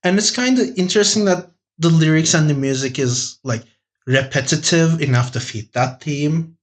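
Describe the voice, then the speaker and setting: Higher-voiced man chatting enthusiastically. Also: moderate pace. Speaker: podcast panelist. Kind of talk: casual chat.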